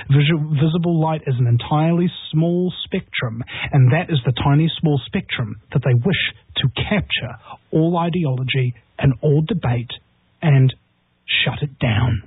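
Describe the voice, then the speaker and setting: A man from New Zealand speaks with a husky voice that sits a little high, in combative, rousing talk-radio style. He comes through a thin, tinny phone line in a dry, close sound. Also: moderate pace, no echo. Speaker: radio show host appearing as guest. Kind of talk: impassioned talk radio conversation.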